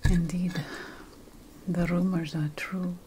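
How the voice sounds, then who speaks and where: A woman playing an ancient Sumerian witch priestess in a whispered ASMR fantasy role-play, breathy, solemn and deliberate as she addresses an apprentice. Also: on the low side, flat delivery, warm tone, slight background noise. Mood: solemn warning.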